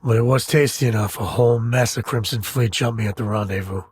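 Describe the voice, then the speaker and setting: A husky-voiced frontier spaceman for a video game, recorded close and dry. He is an easygoing ranger and family man with a folksy, wry way of talking. His pitch sits in an ordinary male middle range. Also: fairly quick, no echo. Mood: relaxed, wry. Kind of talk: video game character dialogue.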